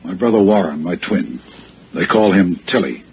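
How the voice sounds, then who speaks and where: A man's voice: deep voice, expressive, normal speed, a little hiss or hum. An actor playing an earnest, anguished businessman, hesitant and pleading, in a 1960s radio drama. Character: earnest, anguished insurance man pleading his innocence. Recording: thin, phone-like old radio recording.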